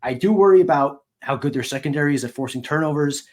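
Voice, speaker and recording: A man's voice: a little high, fast. A man co-hosting a sports podcast, giving casual analysis.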